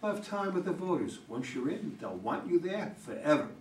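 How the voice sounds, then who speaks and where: Man acting a blunt, animated campaign manager, echoey. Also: on the high side, moderate pace. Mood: persuasive. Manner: emphatic, exasperated, urging. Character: blunt, pragmatic male political campaign manager. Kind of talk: staged play reading. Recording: echoey room, distant, noisy.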